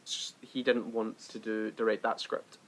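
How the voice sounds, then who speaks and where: A man's voice: lowish voice, flat delivery, a little slow, low-quality recording. A man co-hosting a podcast, talking casually and emphatically with enthusiasm and firm opinions about films. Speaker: podcast co-host. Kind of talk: casual opinionated film chat.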